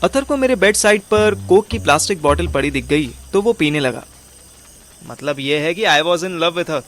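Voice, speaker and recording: A man's voice: fairly high pitch, animated, moderate pace, a bit bright. A man narrating and playing a scheming, overweight amateur detective in an audio drama, with a wry, colloquial manner. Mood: defensive. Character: overweight, scheming male amateur detective telling his story. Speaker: narrator and lead character.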